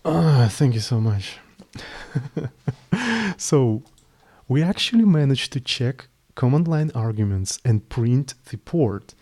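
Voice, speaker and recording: A young man with a Slavic accent talks through code on a live stream, his voice mellow and darkish. He is worn out, halting and trailing off mid-sentence as he thinks aloud. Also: medium pitch, expressive. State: mentally tired. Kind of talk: casual live coding stream.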